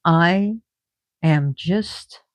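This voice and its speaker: A woman giving a casual spiritual talk about myth and oracle cards, halting often and restarting as she searches for words. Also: fairly low pitch, no room sound. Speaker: oracle card reader.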